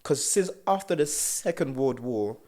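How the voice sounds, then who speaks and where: A young man, co-hosting a casual podcast chat, thinking aloud in a halting way with stutters and many fillers. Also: medium pitch, animated, mellow. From South London, England. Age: young adult. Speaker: podcast co-host.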